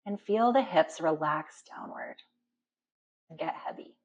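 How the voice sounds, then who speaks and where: A woman instructor guiding a deep relaxation meditation in a calm, instructional manner with slow pauses. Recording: dull, muffled lo-fi sound.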